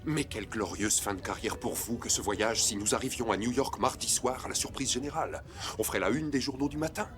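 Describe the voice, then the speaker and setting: An actor playing a suave, ambitious gentleman with polished, flattering formality, in a period film drama. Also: medium pitch, brisk, music in the background, lightly acted. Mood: smooth persuasion. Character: suave, ambitious gentleman passenger coaxing a captain.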